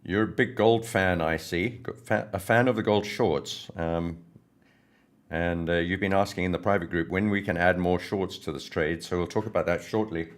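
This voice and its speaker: A hoarse man hosting a trading livestream, greeting viewers by name in a friendly, rambling way before going through market news. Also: low voice, steady tone, normal speed. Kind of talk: casual live-stream chat and market commentary.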